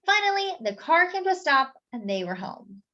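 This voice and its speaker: A woman reads a children's storybook aloud in a high, lively voice. She swings her pitch expressively and adds little explanations for young listeners. The recording is a bit dull and lo-fi. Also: moderate pace. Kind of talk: animated picture-book read-aloud with asides.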